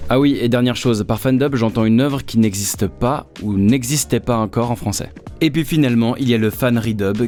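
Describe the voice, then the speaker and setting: A man's voice: mid-range voice, darkish tone. A man who works as an amateur voice actor, narrating a scripted explainer in a chatty way, with explanatory asides. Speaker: amateur voice actor presenting own work. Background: background music.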